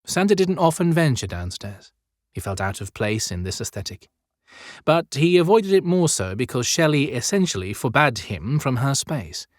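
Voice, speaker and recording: A man narrating an audiobook story in a measured, descriptive storytelling style. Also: mid-range voice, sing-song, no echo, clean studio sound. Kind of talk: scripted dramatic storytelling narration.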